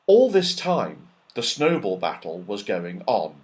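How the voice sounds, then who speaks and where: Slow, animated male storyteller. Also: lowish voice. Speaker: audiobook narrator. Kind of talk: expressive children's story narration.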